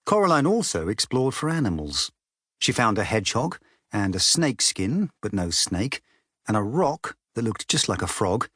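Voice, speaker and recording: Male storybook narrator, lowish register, lively intonation, dry studio audiobook sound. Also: no room sound.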